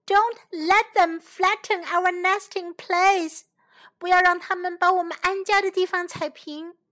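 A woman's voice: sits high, normal speed, bright, forceful tone. A woman voicing frightened little wild animals in a children's story, pleading and exclaiming for help. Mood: panicked pleading.

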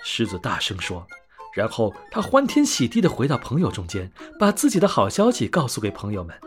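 A man narrating a scripted bedtime story for children in an expressive, clearly phrased way. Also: mid-range voice, a little fast. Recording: soft music under narration.

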